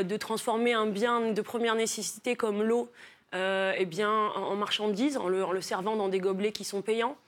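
Hesitant, thoughtful woman guest from Marseille. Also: mid-range voice. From Marseille, France. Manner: thoughtful, many hesitations with euh. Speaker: guest, philosopher and author. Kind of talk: studio interview.

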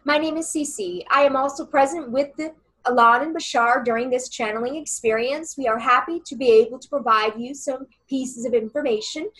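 A woman voicing a strong, direct female galactic being who speaks loudly and formally, as "we". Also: sits high, moderate pace, low-quality recording. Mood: formal, welcoming. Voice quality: loud voice. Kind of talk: channeling session.

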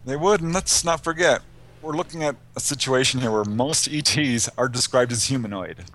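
Give a man's mid-pitched voice in a conversational interview, the guest researcher telling case stories. He speaks informally and anecdotally, with a lightly amused, chuckling manner. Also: normal speed, fairly bright tone.

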